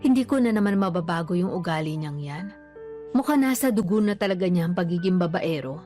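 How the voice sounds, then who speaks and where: Isang babaeng gumaganap na mapagtiis na inang may mahinang musika sa likod. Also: female voice, lowish voice, animated, a little fast, warm tone, natural voice. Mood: resigned. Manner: patient, plaintive. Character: long-suffering, resigned mother of grown children. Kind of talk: Filipino radio drama acting. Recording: faint music behind.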